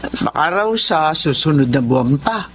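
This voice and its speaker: A man's voice: fairly high pitch, expressive, a little slow, a bit bright, slight background noise. A man playing a fussing, affectionate household companion in a radio drama. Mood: fond fussing. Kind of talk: Tagalog radio drama acting.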